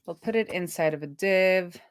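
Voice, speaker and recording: A woman's voice: fairly low pitch, normal speed, no echo, clean studio sound. A woman live streaming a casual coding walkthrough, talking informally and thinking aloud to herself as she works.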